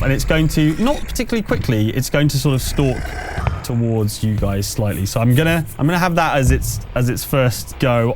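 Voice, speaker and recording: A man with an average-pitched voice runs a tabletop horror game. He improvises description and rules talk, pausing and drawing out phrases as he goes. Music plays faintly behind him. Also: darkish tone.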